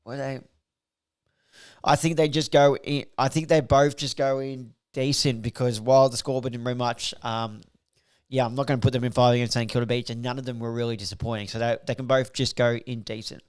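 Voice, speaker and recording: Brief: male podcast host, a bit croaky, smooth resonant tone, rambling stat-heavy review. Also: medium pitch, fairly even intonation, brisk, a little mellow. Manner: rambling, filler-heavy, reels off stats. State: slightly croaky, voice recovering. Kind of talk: casual solo podcast review.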